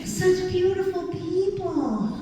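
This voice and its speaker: A woman's voice: extremely high pitch, slow, background noise. Older woman doing stand-up in an echoey theater. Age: 70s. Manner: chatty, self-deprecating, works the crowd. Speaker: stand-up comedian. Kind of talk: stand-up comedy set. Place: large theater stage. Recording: echoey theater recorded from far off. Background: audience laughter.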